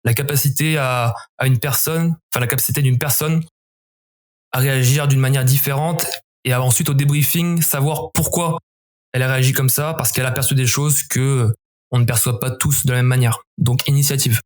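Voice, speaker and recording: A man from southern France speaks as an interview guest. His voice sits at a middle pitch, and he talks in a measured, reflective way, often circling back to restart a phrase. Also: steady tone. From southern France, near Montpellier. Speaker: guest, former special forces member. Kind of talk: podcast interview answers.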